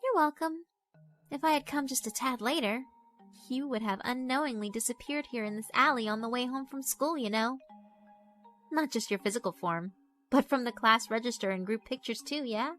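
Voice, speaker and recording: A woman's voice: a little high, moderate pace, a bit bright, soft background music, voice pushed up, close to an everyday voice. A woman voicing a mischievous, predatory girl spirit in a horror visual novel, teasing and politely condescending. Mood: mischievous, knowing. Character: mischievous, predatory yokai schoolgirl, doll-like, elegant.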